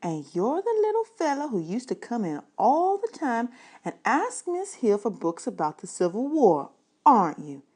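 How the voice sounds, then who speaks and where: A woman voicing a smiling, kindly librarian in a storybook, chatting to a boy in a gushing, encouraging, drawn-out way. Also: a little high, wildly swooping pitch, a little mellow, pitched above the performer's own voice. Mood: warm, delighted.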